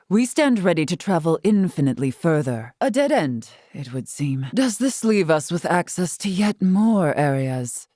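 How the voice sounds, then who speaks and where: A dignified female warrior-scholar from a video game, with a fairly low voice. She speaks in stately, old-fashioned, carefully formal phrasing. The recording is dry, with no room sound. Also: dark tone, no echo. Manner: stately, archaic formal diction. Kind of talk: scripted video game character lines.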